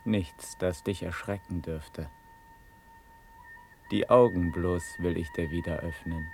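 An actor playing a calm, courteous officer in a German classical radio drama, with a measured, formal, gentle manner. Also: male voice, sits low, creaky, soft background music, heavily acted. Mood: solemn, soothing.

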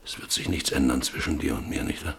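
Deep-voiced ranch patriarch for radio play; terse, troubled, used to giving orders. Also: male voice, steady tone, fast, a bit bright, slight background noise. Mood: remorseful, shaken. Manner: curt, insistent, brooding. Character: domineering, troubled rancher, husband and father. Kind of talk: German radio drama acting.

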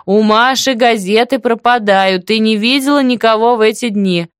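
A woman voicing a feisty, impatient young village woman shouting in short emphatic phrases, in an audiobook story. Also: fairly high pitch, lively intonation, measured pace, smooth, no room sound, studio quality, pitched above the performer's own voice. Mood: insistent, loud.

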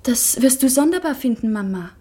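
A woman playing an anxious, intense schoolgirl confiding in her mother in a literary radio play, with earnest, halting delivery. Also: sits high, lively intonation, dark tone, husky.

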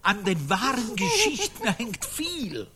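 An actor playing a naive, fussy medieval man eager for stories in a radio play, with a husky voice and an earnest, wondering manner. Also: very high voice, wildly swooping pitch, slow pace, a crowd in the background, exaggerated voice. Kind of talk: German radio play acting.

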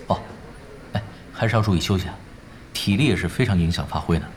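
An actor playing an easygoing young male expert gamer in an audio drama, talking in a casual, gently teasing way. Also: low-pitched, fast, lightly acted. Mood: relaxed, teasing. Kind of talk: Chinese audio drama acting.

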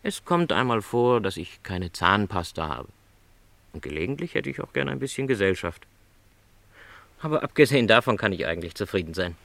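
Low-voiced, modest middle-aged travelling salesman. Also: sing-song, a little fast, creaky, fairly bright tone, no room sound, heavily acted. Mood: modestly content. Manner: modest, reflective, polite. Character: lonely, contented, no longer young travelling salesman. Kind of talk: radio play dialogue.

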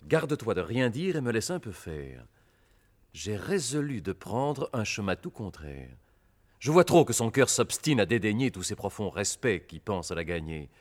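An actor playing a resolute, lovestruck prince in classical French verse comedy, declaiming in a commanding, emphatic way. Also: male voice, lowish voice. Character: resolute, lovestruck prince, scheming to win a proud woman.

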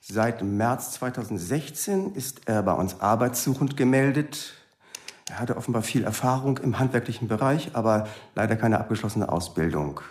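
An actor playing a businesslike job centre clerk in a radio drama, matter-of-fact and orderly. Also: male voice, mid-range voice, lively intonation, normal speed, a little mellow, close to an everyday voice.

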